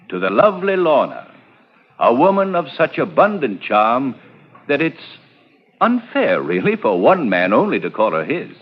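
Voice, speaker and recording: An actor playing a suave, genial doctor, smooth and courteous, in a 1940s radio drama. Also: male voice, mid-range voice. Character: suave, genial doctor, gracious host to his guests. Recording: noisy, dull old radio recording.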